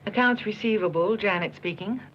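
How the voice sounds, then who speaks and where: An actress playing a businesslike accounts clerk answering a call, in a 1980s training film. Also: female voice, mid-range voice, fairly quick, natural voice. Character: brisk accounts clerk on the phone. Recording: muffled film soundtrack.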